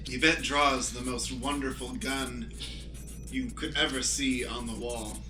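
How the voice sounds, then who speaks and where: A man's voice: mid-range voice, fairly even intonation, a little slow, roomy sound, music in the background, poor audio. A man narrating stage directions as the stage manager of an improvised radio play.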